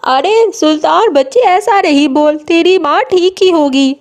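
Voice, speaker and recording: A woman acts an old village grandmother from a cartoon moral story. The voice is very high. She is doting and fussing, with an exclaiming manner. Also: measured pace. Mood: fretful.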